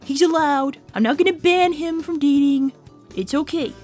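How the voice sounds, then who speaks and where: A woman's voice: sits high, normal speed, a little mellow, natural voice. A woman voicing an earnest, chatty young animal character in a cartoon fan dub. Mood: earnest, firm. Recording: background music under voice.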